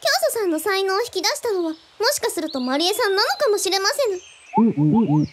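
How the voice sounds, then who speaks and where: A tiny, very high-pitched girl's voice, with lively swings. She is gifted and humble, speaking in quaint formal phrases for a radio drama, over clear background noise and birdsong. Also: normal speed, exaggerated voice. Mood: grateful, humble. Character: polite, gifted little girl with quaint old-fashioned speech.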